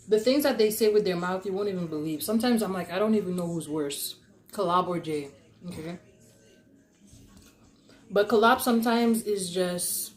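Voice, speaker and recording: A young adult woman telling stories casually and conversationally, animated and giggly, acting out what people said. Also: on the low side. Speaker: podcast host telling stories. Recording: echoey, roomy sound.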